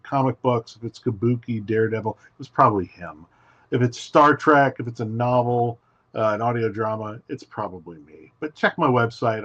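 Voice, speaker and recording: A man's voice: mid-range voice, a bit bright. Man, guest writer, casual interview, emphatic storyteller, muffled lo-fi audio.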